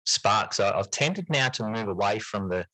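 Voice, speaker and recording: A middle-aged man with a fairly low voice answers as a podcast guest. The sound is very dry, with little room in it. He talks reflectively, circling back on words and softening his points as he goes. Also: animated, normal speed, no room sound. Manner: hedging, frequent "sort of", repeats words. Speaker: podcast guest, teacher and fiction writer. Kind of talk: reflective interview conversation.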